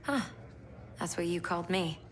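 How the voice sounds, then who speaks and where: An actress playing a composed young military commander who talks in a matter-of-fact way in a video game cutscene. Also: female voice, fairly low pitch, animated, normal speed, a little hiss or hum, close to an everyday voice.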